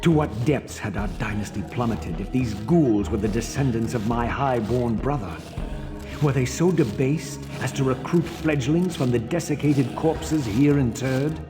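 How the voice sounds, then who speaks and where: A man voicing a proud, vengeful undead vampire in a video game, brooding and bitter, with measured, grandiose, disdainful theatrical delivery. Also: mid-range voice, over music, natural voice. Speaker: protagonist narrator. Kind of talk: dramatic scripted game narration.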